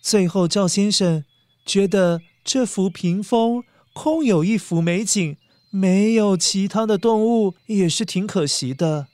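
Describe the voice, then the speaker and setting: A woman's voice: mid-range voice, measured pace, dark tone, no echo. A woman narrating a children's story expressively, speaking directly to young listeners. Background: faint music.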